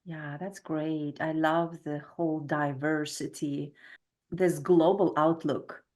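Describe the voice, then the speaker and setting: A woman's voice: deep voice, level tone, slow pace, a little mellow. A woman hosting a podcast interview, encouraging and affirming her guest. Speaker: podcast host. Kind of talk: casual interview chat.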